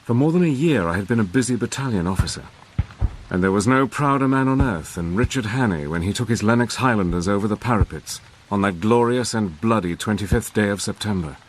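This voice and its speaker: Actor as a resolute army major narrating, brisk and soldierly, low voice. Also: male voice, expressive, a little hiss or hum, close to an everyday voice, rough recording. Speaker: narrator and lead. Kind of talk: first-person dramatic narration.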